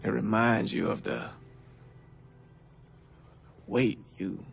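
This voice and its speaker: A man's voice: lowish voice, slow, vocal fry, heavily acted. An actor playing a burdened man reflecting sombrely on his past in a video game cutscene monologue. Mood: sombre, reflective. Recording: loud guitar music behind voice.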